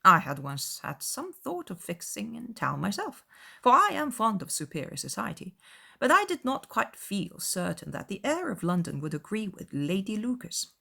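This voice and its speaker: A woman voicing a gushing, courtly older gentleman in an audiobook dramatization. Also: mid-range voice, big pitch swings, moderate pace, creaky, pitched above the performer's own voice, heavily acted. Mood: effusive, courtly. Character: effusive, gallant older gentleman, Sir William.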